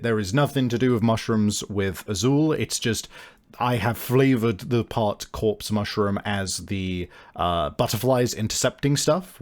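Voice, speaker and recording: A man hosting and game mastering, improvising vivid descriptive narration for a tabletop game. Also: fairly low pitch. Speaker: game master and podcast host. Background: background music.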